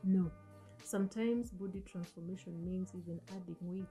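Earnest woman with a dark, mellow voice. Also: on the low side, fairly even intonation, normal speed, soft background music. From Africa. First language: an African language. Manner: earnest, coaching, asks the listener rhetorical questions. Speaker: host. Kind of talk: motivational talk. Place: small indoor room, seated on a sofa. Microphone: studio mic on a stand in front of her.

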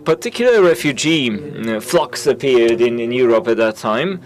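A man giving a simultaneous interpretation of conference talks, halting and full of filler sounds and restarts. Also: medium pitch, expressive.

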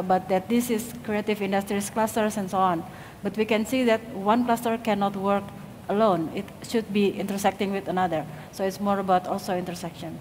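A woman's voice: mid-range voice, darkish tone, a little hiss or hum. Woman from Indonesia presenting on a panel. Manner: informal, with frequent fillers. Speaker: panel speaker, designer and lecturer. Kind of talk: conference presentation. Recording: reverberant hall, faint ambience.

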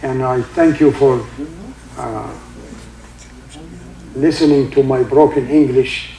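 An older man with a heavy Egyptian accent, a native Arabic speaker, giving an informal religious talk in halting English with many fillers and recited Arabic verses. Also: mid-range voice, fairly even intonation, mellow, echoey room, low-quality recording. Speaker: religious teacher. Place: indoor room with carpeted seating.

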